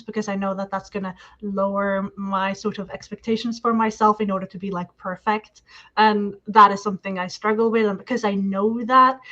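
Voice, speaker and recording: A woman's voice: medium pitch, a bit bright. A woman who learned English as a second language, chatting thoughtfully as a guest in long, hedged sentences full of fillers. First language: not English. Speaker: guest discussing marketing and projects. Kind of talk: casual reflective chat. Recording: slightly muffled lo-fi audio.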